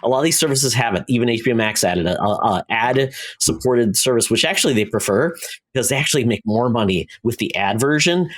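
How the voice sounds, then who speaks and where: A man's voice: mid-range voice, animated, fairly quick, a bit bright. A man hosting a podcast, explaining news in a rambling, conversational way. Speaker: podcast host presenting entertainment news. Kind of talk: casual news chat.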